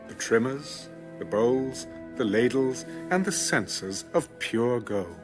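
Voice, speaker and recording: A man reading scripture as a scripted audio narration, formal and measured. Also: medium pitch, animated. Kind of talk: scripted audio Bible narration, read aloud. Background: instrumental music.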